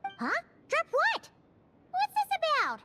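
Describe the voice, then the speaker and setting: A woman voicing a small, excitable fairy girl companion in a video game, childlike in manner. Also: extremely high pitch, takes it slowly, soft background music, full character voice. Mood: curious, puzzled. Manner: childlike, speaks of herself by name.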